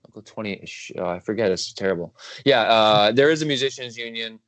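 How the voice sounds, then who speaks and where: A man's voice: on the low side, fairly quick, a bit bright, no room sound, poor audio. A man guest composer in a casual interview chat, rambling, laughing easily and correcting himself as he goes.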